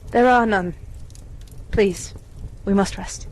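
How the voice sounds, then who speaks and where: A woman playing a kindly, weary widowed lady in an amateur radio play, with a measured, genteel delivery. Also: mid-range voice, slight background noise, lightly acted. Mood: tender, weary.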